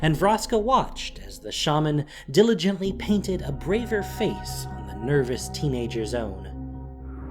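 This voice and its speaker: A narrator reading a scripted fantasy story in a dramatic storytelling style. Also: medium pitch.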